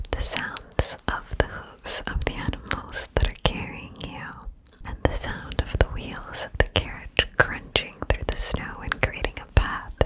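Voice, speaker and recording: A woman hosting ASMR, leading a guided visualization in a soothing, breathy whisper with gentle pauses. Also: rough recording. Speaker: ASMR video host.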